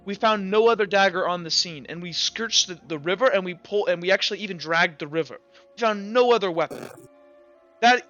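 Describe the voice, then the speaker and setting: A man playing a skeptical, methodical courtroom prosecutor who presses with halting, repeated questions in a tabletop roleplay. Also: high-pitched, bright, forceful tone, muffled recording, soft background music. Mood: probing.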